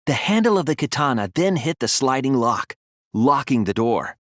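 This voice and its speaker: A man voicing an earnest, soft-spoken teenage boy detective in a video game, reasoning things out deliberately with a slightly breathy voice. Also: medium pitch, big pitch swings, no echo, clean studio sound. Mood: focused, explanatory. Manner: deliberate, earnest, analytical explaining with dramatic emphasis. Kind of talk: English video game voice acting, deductive monologue.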